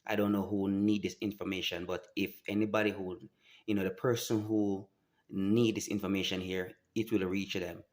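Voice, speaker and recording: Halting low-voiced man. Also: little pitch movement, darkish tone. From Jamaica. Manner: halting, many restarts and fillers, earnest. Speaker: vlogger. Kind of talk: personal testimony to camera.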